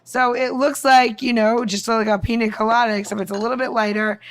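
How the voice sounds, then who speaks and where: A woman's voice: a little high, steady tone, brisk. A woman podcast host talking casually and informally in an upbeat way, peppered with "like" and "you know". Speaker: podcast co-host presenting cocktail recipes. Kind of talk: casual chatty recipe walkthrough.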